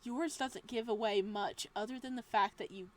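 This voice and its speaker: A woman reading a visual novel aloud as a let's play host, moving between dramatic narration and casual, expressive reactions. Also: mid-range voice. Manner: expressive, exclamatory asides with laughter between measured reading.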